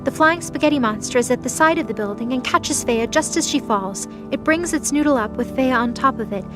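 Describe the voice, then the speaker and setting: A woman's voice: sits high, animated, fairly quick, music in the background. A woman narrating a scripted light novel audio drama.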